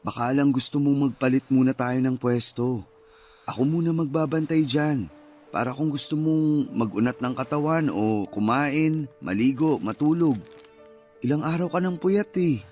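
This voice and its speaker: An actor playing a grieving young husband who gently pleads and consoles in radio-drama style. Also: male voice, medium pitch, normal speed, lightly acted. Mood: concerned. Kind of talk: radio-drama dialogue acting. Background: music.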